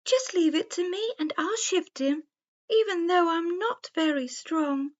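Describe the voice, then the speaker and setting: A sweet, confident female deer from a children's storybook, acted out by a woman. Her voice sits high and dainty. The sound is a little dull. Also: measured pace. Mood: sweetly confident.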